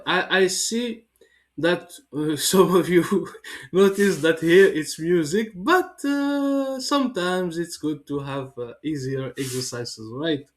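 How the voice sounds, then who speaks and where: A man with a cold, slowly reading a text for learners and then explaining exercises in English with many hesitations. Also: a little high. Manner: slow, careful reading with hesitant fillers. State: has a cold. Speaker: French teacher.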